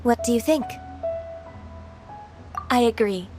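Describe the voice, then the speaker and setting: A woman playing a gentle, dutiful young adept in training in a video game, speaking politely and earnestly. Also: on the high side, takes it slowly, music in the background. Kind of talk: video game character acting.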